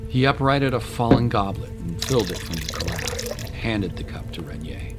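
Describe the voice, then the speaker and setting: A man's voice: low voice, expressive, moderate pace, over loud music. A man narrating a scripted dramatic historical story as its narrator.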